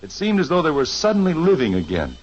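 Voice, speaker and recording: A kindly, wry sitcom dad. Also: male voice, on the high side, wildly swooping pitch, fast. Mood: tender. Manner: gentle, wry, self-deprecating. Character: kindly middle-aged family father. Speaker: father in a family sitcom. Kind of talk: 1950s radio sitcom acting. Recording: lo-fi 1950s radio transcription.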